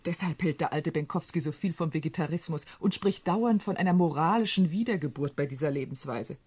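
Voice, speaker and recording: An actress playing a teasing, frank young widowed sister in a radio drama, playful and chatty with a husky voice. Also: female voice, deep voice, a little fast, darkish tone. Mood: chatty disgust. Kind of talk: German radio drama acting.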